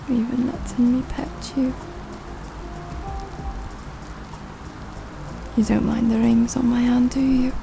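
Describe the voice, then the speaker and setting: A woman's voice: fairly high pitch, level tone, a little slow, gravelly, background noise. A woman playing a tired goth nightclub worker with a Scottish accent, sardonic but tender, speaking intimately to the listener in an audio roleplay. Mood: gentle, wry. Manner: sardonic, muttering, softly cooing, sighing. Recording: rain and city ambience behind voice. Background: rain on surfaces, thunder, city ambience.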